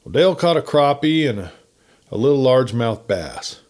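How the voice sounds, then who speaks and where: A man's voice: mid-range voice, very animated, moderate pace, a little mellow. A man reading a folksy first-person story in a campfire-tale narration style.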